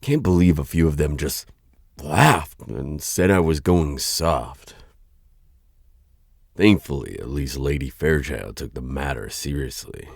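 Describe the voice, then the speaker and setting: Man acting as a very deep, gravelly vampire lord. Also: animated, no echo, studio quality, exaggerated voice. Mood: musing, unsettled. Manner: hesitant, stammering, musing with sighs and trailing pauses. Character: conflicted male vampire lord, softening, flustered, courteous yet aloof. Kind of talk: ASMR roleplay audio drama acting.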